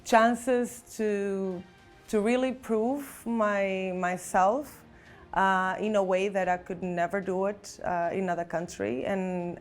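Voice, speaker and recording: A woman in her thirties from Portugal, speaking English with a Portuguese accent as a nurse recounting her experience in a reflective interview, with frequent restarts. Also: mid-range voice. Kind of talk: personal testimony interview. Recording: faint background music.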